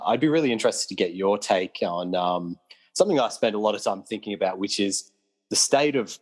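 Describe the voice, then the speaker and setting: Man, low voice, hesitant, um-filled, casual film podcast. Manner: hesitant, restarts and "um"s. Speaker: podcast co-host.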